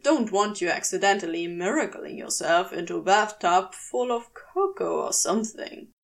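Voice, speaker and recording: A woman's voice: mid-range voice, expressive, normal speed, gravelly, pitched below the performer's own voice. A woman reading the part of a casually bossy, teasing male demon in a story, with a clipped, commanding manner. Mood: coaxing, teasing.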